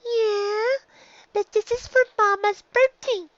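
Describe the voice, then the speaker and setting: Squeaky, earnest little filly pony. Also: steady tone, takes it slowly, a bit bright, lo-fi sound, exaggerated voice. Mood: earnest, insistent. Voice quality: very high squeaky child voice. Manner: childlike, insistent.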